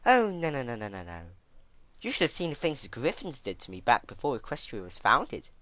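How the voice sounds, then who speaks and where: An ancient pony princess played by a man, unhinged and theatrical, in a dramatic fan fiction reading. Her pitch is lifted and leaps about, with a bright edge, as she chats with drawn-out emphasis about her suffering. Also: wildly swooping pitch, no room sound. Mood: gleefully manic. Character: ancient immortal pony princess, female, unhinged, gleefully recalling torment.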